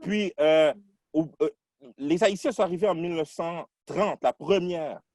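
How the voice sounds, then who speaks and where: A man from Quebec talking through his identity in a casual podcast discussion, reflective and halting, restarting his sentences often. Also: fairly high pitch, darkish tone, no room sound, studio quality.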